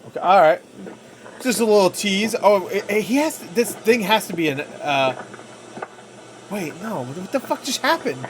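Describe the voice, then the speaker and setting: A man's voice: high voice, expressive, normal speed, poor audio. A man giving casual, jokey reaction commentary with slangy swearing as he watches a video. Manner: profane, slangy, jokey asides. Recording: clear background noise.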